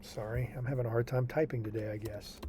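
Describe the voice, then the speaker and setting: A man's voice: medium pitch, fairly even intonation, fast talker, background noise. A man tutoring a student over a video call, explaining homework patiently and reassuringly, often checking in with "okay?". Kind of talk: online tutoring session walking through homework.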